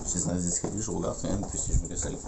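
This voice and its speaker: A man's voice: deep voice, little pitch movement, racing, creaky, background noise. A man chatting casually to his viewers as a vlogger, speaking informally. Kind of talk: casual vlog chat to viewers.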